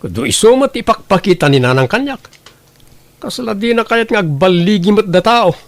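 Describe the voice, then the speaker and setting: A resentful young farmer son played by a man with an Ilocano accent, accusing and full of complaint. His voice sits high and has a gravelly creak, as heard in radio-style drama. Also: lively intonation, moderate pace, no room sound. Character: bitter, blaming grown son, a struggling farmer. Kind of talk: Ilocano audio drama acting.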